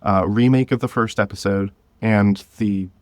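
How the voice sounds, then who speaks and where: Low-voiced man, dry close sound. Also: steady tone, moderate pace, dry recording. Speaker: guest, independent audio drama creator. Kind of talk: casual interview conversation.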